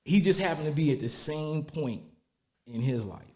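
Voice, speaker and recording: A raspy-voiced man, a music producer and singer, telling stories in a relaxed, rambling way in a podcast interview. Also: on the high side, normal speed. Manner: relaxed, rambling, repeats "you know what I'm saying". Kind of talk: casual interview storytelling.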